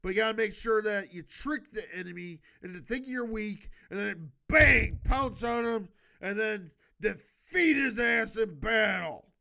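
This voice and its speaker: A man's voice: sits high, expressive, a little slow, creaky, few pauses, pushed and bright. A man reading a book aloud for a homemade video, stumbling and correcting himself, adding emphatic, casual exhortations of his own. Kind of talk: halting read-aloud with improvised casual commentary.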